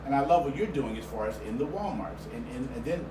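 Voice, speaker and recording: Noisy distant recording, male interview host, mid-pitched, casual, halting phrasing with repeated words. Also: expressive. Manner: halting, repeats words while forming questions. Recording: roomy, distant mic, noisy background.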